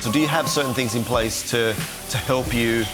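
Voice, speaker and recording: Friendly middle-aged host asking easy questions. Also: male voice, mid-range voice, moderate pace, loud music behind the voice. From India. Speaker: interviewer, host. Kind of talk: casual interview chat.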